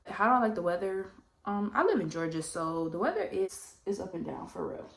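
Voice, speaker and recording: A woman's voice: medium pitch, a little fast, vocal fry, rough recording. A woman around twenty, a little congested, chatting casually and rambling while doing her makeup for a vlog Q&A. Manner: rambling, relaxed, heavy use of "like" and "you know what I mean". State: slightly congested, coming down with a cold. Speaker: vlogger. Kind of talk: casual get-ready-with-me Q&A chat.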